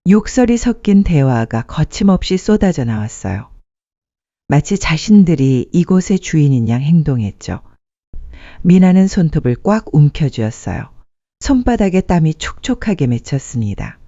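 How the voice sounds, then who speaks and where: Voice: medium pitch, big pitch swings, normal speed, mellow, dry recording. A narrator reading a scripted dramatic story as a storyteller. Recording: muffled dry studio sound.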